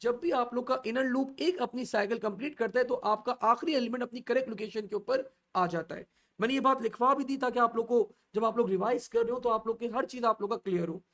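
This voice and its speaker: A man's voice: very high voice, fairly bright tone. Patient male coding teacher. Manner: asks the class questions and answers them himself; slow and repetitive, stepping through values. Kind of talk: online coding lesson in mixed Urdu and English.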